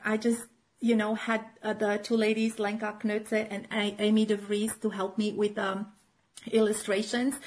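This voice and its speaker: A middle-aged woman from Romania, chatting in an interview as a children's author and teacher, full of fillers and restarts. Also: mid-range voice. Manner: chatty, many fillers and restarts, laughs often. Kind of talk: casual interview chat.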